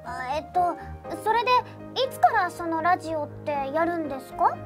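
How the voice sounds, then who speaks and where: A woman playing a flustered, earnest high school girl in an anime radio drama, stammering and exasperated. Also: very animated, normal speed, over music. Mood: dismayed.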